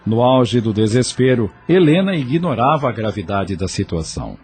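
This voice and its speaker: A man with a Brazilian Portuguese accent narrating an audio drama in a measured, expressive storyteller's manner. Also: medium pitch, breathy edge.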